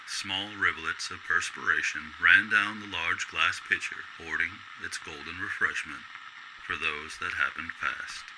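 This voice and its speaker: Male radio-drama narrator: deep voice, measured literary storytelling, clear noise in the recording. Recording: loud background noise.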